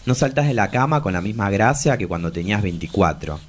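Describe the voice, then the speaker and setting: A man plays an imperious, bitter travesti past her prime in a stage-like monologue. The voice sits fairly low and swings dramatically, with an Argentine accent. Faint noise runs behind it. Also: expressive, brisk, natural voice. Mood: bitter lament. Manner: solemn, theatrical, imperious. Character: bitter, theatrical travesti in her forties, fallen diva.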